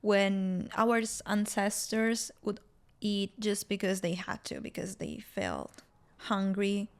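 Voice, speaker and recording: Young Italian-accented woman, slightly gravelly. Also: medium pitch, animated, takes it slowly. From Italy. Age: young adult. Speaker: podcast guest, student. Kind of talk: reflective interview conversation.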